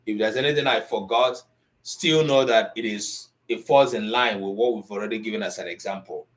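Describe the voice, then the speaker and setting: Man teaching Igbo, lively lecturing. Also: on the low side, fairly quick. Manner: conversational, mixing English and Igbo, lively lecturing. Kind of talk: live online language class.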